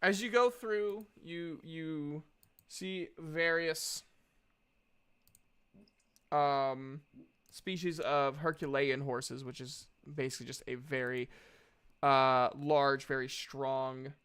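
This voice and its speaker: A man improvising tabletop game narration as game master, halting often as he searches for words. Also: on the high side, fairly even intonation, fairly bright tone, clear, resonant tone.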